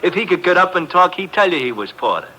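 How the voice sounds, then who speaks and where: Gruff police sergeant, smug, ungrammatical, bright and lively tone, old radio broadcast. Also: male voice, sits high, quick pace, exaggerated voice, low-quality recording. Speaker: homicide sergeant. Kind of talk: 1950s radio drama acting.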